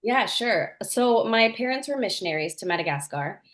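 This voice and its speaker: A woman's voice: a little high, expressive, normal speed, a bit bright. A woman from Norway, an actress, answering interview questions in an earnest, enthusiastic way with small laughs. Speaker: actress, guest.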